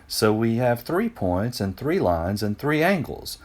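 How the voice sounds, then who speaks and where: A man's voice: medium pitch, very animated, measured pace, a little mellow, pitched above the performer's own voice. A man voicing an eager ancient Greek geometry teacher in a children's storybook, explaining patiently and with emphasis. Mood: pleased, instructive.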